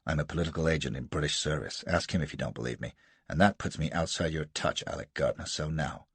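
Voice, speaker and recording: A man reading aloud and voicing a cool, defiant American rogue adventurer who speaks low and clipped. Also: quick pace, breathy edge, no room sound, exaggerated voice.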